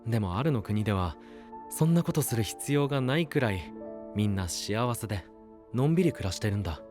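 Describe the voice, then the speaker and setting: An actor playing a kind, easygoing young knight in an anime voice drama, talking in soft, casual, friendly phrases. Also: male voice, on the low side, lively intonation, fairly quick, lightly acted. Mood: gentle, reassuring. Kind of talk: Japanese anime voice drama acting. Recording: clear music under the voice.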